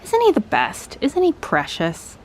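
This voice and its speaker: A woman's voice: medium pitch, wildly swooping pitch, measured pace, a little hiss or hum, poor audio. A woman chatting casually to viewers as a book vlogger, in an informal, effusive, slangy way.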